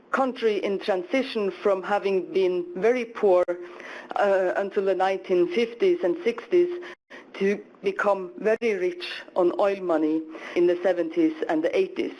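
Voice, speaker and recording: Norwegian-accented woman giving a measured panel talk. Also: mid-range voice, darkish tone. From northern Norway. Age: 40s. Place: conference hall. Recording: dull lo-fi conference recording.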